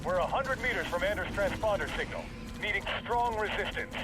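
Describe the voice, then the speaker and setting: High-pitched, barking male marine played by an actor. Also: a little fast, background noise, natural voice. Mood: urgent, barking. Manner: barked, clipped battlefield radio calls. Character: gung-ho male marine soldier in combat. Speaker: soldier. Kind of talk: scripted video game acting. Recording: music, gunfire and artillery behind.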